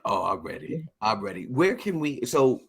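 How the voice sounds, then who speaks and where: A man's voice: mid-range voice, big pitch swings, normal speed, slightly rough. A man hosting a casual interview, talking in an informal way and often saying y'all. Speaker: interviewer and show host.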